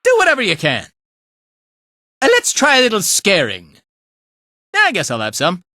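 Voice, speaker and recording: A man's voice: high-pitched, wildly swooping pitch, normal speed, pushed and bright, no room sound, clean studio sound, exaggerated voice. An actor playing a laid-back, playful male fighter in a video game, with an easygoing, casual drawl. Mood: casual guiding. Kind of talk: animated video game voice lines.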